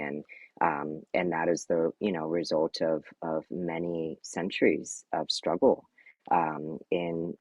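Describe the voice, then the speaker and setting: Very deep husky man on dull audio. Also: darkish tone, no echo. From North Carolina. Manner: thoughtful, many restarts and "right?" tags. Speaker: panelist, organizer. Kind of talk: reflective panel answer.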